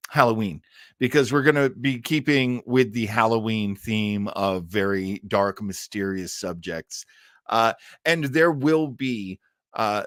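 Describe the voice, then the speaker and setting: A man's voice: on the low side, fairly bright tone, no echo, studio quality. A man hosting a casual livestream, rambling enthusiastically as he answers viewer comments. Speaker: host of an old-time radio listening show.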